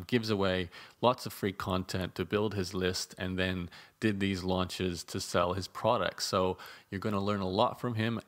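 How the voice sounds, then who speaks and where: A man's voice: sits low, fairly bright tone. A man hosting an interview, talking in a chatty way with frequent restarts and repeated words. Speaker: podcast host.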